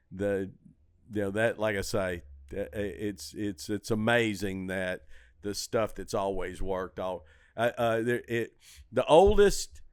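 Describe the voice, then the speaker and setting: A middle-aged man chatting casually as a podcast host, telling stories in an animated, opinionated way and swearing freely. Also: lowish voice, clear, resonant tone, dry recording, studio quality. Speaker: comedy club owner and comedian, podcast host. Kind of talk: casual shop-talk chat.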